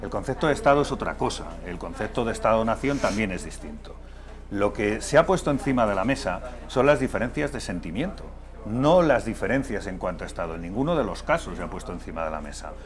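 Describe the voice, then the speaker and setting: A man's voice: fairly low pitch, expressive, fast. A man from Madrid speaking as a political guest in a televised debate, hesitating with many fillers and restarts. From Madrid, Spain.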